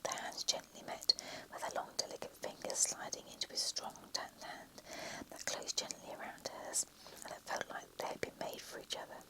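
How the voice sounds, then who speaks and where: A reader whispering a romantic story in a soft, unvoiced hush, with small slips and self-corrections. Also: moderate pace, rough recording. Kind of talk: whispered story reading, ASMR.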